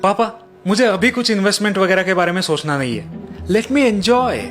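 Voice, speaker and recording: Carefree young son, acted by a man, high male pitch, flippant, cartoon, music behind. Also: expressive, moderate pace, fairly bright tone. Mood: breezy, dismissive. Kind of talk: Hindi story cartoon dubbing.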